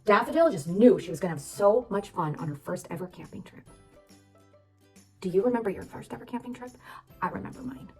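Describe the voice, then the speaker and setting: A woman reading a children's storybook aloud as narrator, expressive and addressing young listeners. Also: medium pitch, brisk, far from the mic. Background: background music.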